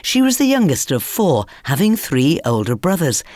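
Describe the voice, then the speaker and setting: A woman radio host narrates with a very lively, varied pitch. She speaks straight to the listener in a storytelling style, recorded close and dry. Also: moderate pace, no echo. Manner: storytelling, addresses listeners directly.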